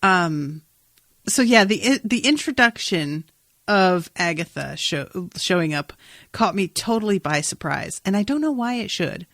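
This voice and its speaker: A woman's voice: on the low side, expressive, measured pace, fairly bright tone, no echo. Casually sweary woman podcaster, close dry sound. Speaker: podcast co-host. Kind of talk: casual TV recap chat.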